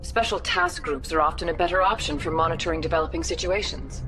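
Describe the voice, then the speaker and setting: A woman's voice: fairly low pitch, sing-song, fast. A woman playing a diplomatic alien councillor in a video game, composed and formal. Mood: composed, official. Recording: music behind the voice.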